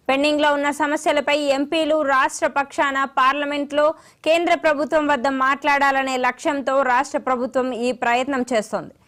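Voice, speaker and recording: A woman's voice: high voice, fairly bright tone. A woman news anchor reading scripted news in a formal bulletin style.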